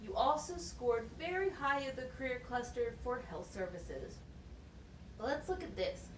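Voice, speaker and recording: A woman acts out a methodical, encouraging teacher in a puppet show. Her voice is fairly high with lively intonation. She guides with plain questions and explanations, heard in a roomy recording. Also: a little slow. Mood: patient, explanatory. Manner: instructive, question-led, plain wording. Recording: roomy, distant mic, faint noise.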